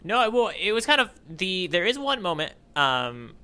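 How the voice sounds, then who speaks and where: A man co-hosting a casual manga readalong podcast, feeling his way through his points in halting phrases. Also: high-pitched, sing-song, sharp tone, clear, resonant tone. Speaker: podcast co-host.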